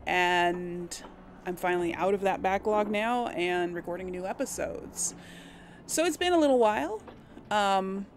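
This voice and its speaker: A woman's voice: medium pitch, a little hiss or hum. A woman giving casual, chatty gameplay commentary as a let's play host, explaining things and correcting herself as she goes. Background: video game ambience.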